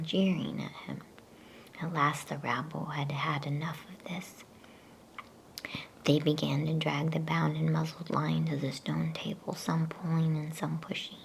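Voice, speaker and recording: A woman whispering a bedtime story in ASMR style, with a hushed, breathy, gentle delivery. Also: deep voice, low-quality recording. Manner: slow, hushed, gentle pacing. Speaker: storyteller reading a bedtime book. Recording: faint background noise.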